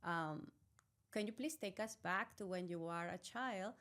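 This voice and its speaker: A woman's voice: fairly low pitch, measured pace, fairly bright tone. A woman hosting a wellbeing podcast, introducing her guest earnestly and asking long, heartfelt questions. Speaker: podcast host. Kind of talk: interview hosting.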